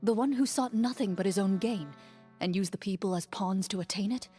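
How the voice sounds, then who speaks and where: A woman acts as a noble swordswoman from a fantasy game, calm and firm. Her voice sits at a middling pitch, measured and composed, with music underneath. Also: natural voice. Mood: earnest, firm. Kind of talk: scripted fantasy game dialogue.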